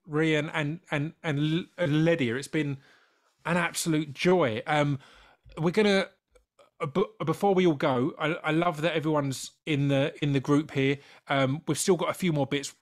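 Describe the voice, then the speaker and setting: A British man hosting a panel discussion, chatty and casual, with a light stammer on some words. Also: fairly high pitch. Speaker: host.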